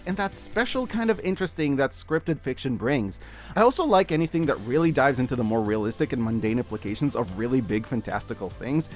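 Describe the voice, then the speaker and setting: A man hosts a scripted media review in a casual, wry style. His voice sits a little high and moves up and down a lot, very expressively. Light music plays behind him. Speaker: host of a media review video. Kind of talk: scripted video essay narration. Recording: background music under the voice. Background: background music.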